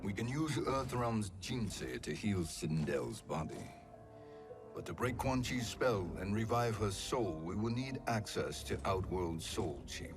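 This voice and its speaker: Deep-voiced scheming sorcerer. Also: male voice, lively intonation, over music. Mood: calculating. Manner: measured, calculating, smug. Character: cunning smug male sorcerer, silky schemer. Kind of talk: video game cutscene acting.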